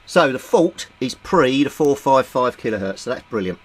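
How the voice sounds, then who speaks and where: A man's voice: medium pitch, animated, normal speed. A man from Britain walking through a radio repair step by step in a plain, methodical way, thinking aloud with small fillers. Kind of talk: technical repair walkthrough.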